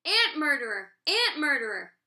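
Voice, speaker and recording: A woman voicing an excitable, quirky schoolboy in a storybook, earnest and exuberant. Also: high voice, normal speed, voice pushed up, exaggerated voice. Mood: outraged. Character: excitable, quirky schoolboy who loves tape and glue.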